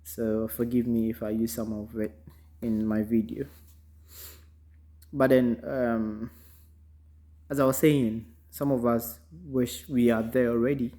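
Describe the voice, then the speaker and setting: A man from Ghana with a Ghanaian accent, giving an unhurried, reflective motivational talk full of ums, recorded in a car. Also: medium pitch, fairly even intonation, dark tone. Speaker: vlogger. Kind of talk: casual motivational monologue.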